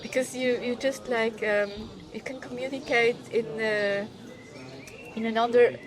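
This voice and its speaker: A woman from Switzerland, a film director, talking in a casual interview, halting and restarting as she searches for words. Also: on the high side, steady tone, music in the background. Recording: crowd chatter behind.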